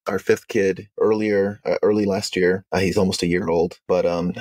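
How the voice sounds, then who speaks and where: Husky low-voiced man chatting. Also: moderate pace, no echo, studio quality. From Uganda. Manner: relaxed, restarts sentences, lots of "you know". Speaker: guest, software company founder. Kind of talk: casual podcast conversation.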